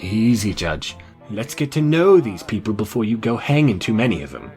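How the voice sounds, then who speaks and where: A man's voice: on the low side, very animated, moderate pace, a little mellow, faint music behind. A man acting a genial Wild West gambler who reins in his partners, in a fan comic dub. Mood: calming.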